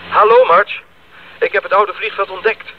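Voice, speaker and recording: An actor playing a young, dutiful missionary bush pilot in a radio drama, heard over an aircraft radio. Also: male voice, sits high, fairly even intonation, moderate pace. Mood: urgent. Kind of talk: 1980s Dutch radio drama acting. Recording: muffled crackly radio link.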